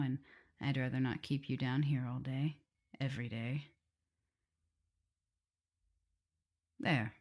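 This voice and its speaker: Woman acting a low, creaky, tender vampire mistress. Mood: caring, playful. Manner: hesitant, halting, breaking into whispers and soft laughs. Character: tender, nervous, guilt-ridden female vampire mistress in love. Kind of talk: intimate ASMR roleplay to the listener.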